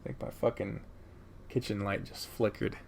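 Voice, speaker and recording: A man's voice: on the low side, fairly even intonation, moderate pace, gravelly, a little hiss or hum. A man giving opinionated, conversational review commentary as a video creator, speaking emphatically with dramatic build-ups and casual swearing. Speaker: video creator ranking favorite games.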